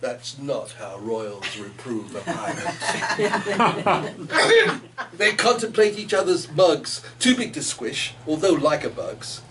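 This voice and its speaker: A man's voice: mid-range voice, very animated, takes it slowly, crowd noise. A man giving a live poetry reading in a theatrical, playful way, with chatty asides to listeners. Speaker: poet reading own work. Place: gallery reading in front of listeners. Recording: noisy room, distant microphone.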